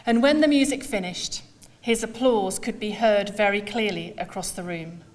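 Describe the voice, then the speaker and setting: A woman delivering a prepared memorial speech, speaking formally in measured, deliberate phrases with pauses. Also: medium pitch. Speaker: school leader giving a eulogy.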